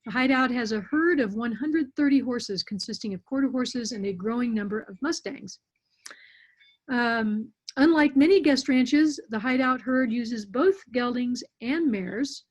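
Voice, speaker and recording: A woman's voice: on the high side, expressive, a little slow. A woman hosting an online interview, reading an introduction in a friendly way with frequent fillers, over muffled audio. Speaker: interview host.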